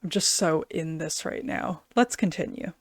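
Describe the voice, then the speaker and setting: A woman's voice: low-pitched, normal speed. A woman giving casual, off-the-cuff commentary as the host of a music reaction video. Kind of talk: casual reaction commentary with some reading aloud.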